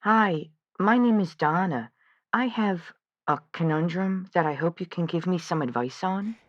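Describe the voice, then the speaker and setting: A woman's voice: on the low side, measured pace, dry recording, lo-fi sound, lightly acted. A woman playing an earnest, lovelorn and anxious caller in a radio drama, speaking hesitantly and with open feeling. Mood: nervous. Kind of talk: scripted audio drama.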